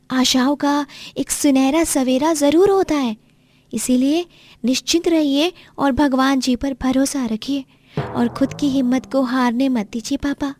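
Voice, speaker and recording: A high, childlike girl's voice, put on by a woman for a cartoon moral story. She is earnest and gentle, a hopeful little daughter who encourages like someone wiser than her years.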